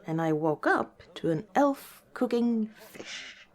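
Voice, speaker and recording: A woman voicing a gentle, wistful female orc tavern owner in a soft-spoken, hesitant ASMR roleplay. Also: mid-range voice, animated, slow pace. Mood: confiding.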